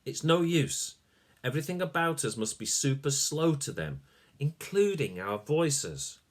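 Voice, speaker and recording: A man voicing an excitable young boy hero in a storybook reading. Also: medium pitch, unhurried, voice pushed up. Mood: urgent.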